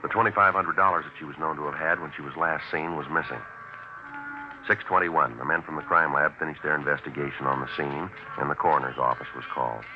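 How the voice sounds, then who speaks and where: An American actor playing a stoic, businesslike police sergeant who narrates his case in clipped, deadpan 1950s radio drama style. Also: extremely low pitch, fast talker, music in the background. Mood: matter-of-fact. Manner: deadpan, matter-of-fact. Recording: muffled, noisy 1950s radio recording.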